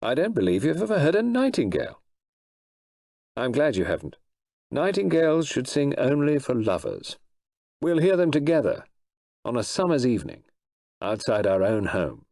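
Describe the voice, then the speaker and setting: A male narrator voices a loving, teasing husband in an audiobook. The voice is husky and tender, with very lively pitch movement. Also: normal speed, long pauses. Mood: fond teasing. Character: affectionate, teasing, romantic husband.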